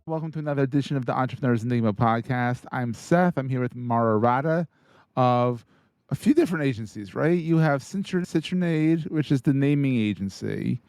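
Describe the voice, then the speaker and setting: A man's voice: mid-range voice, animated, brisk, darkish tone, clean tone, no echo. Chatty male podcast host, dry sound. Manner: upbeat, chatty, affirming. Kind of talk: casual interview chat.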